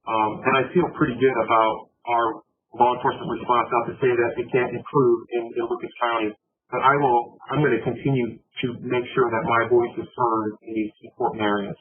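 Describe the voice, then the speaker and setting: A man explains his points earnestly and at length in a town hall meeting. His voice sits at a middling pitch. It comes through a narrow, phone-like video call line. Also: flat delivery, brisk, a little hiss or hum. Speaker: campus police chief.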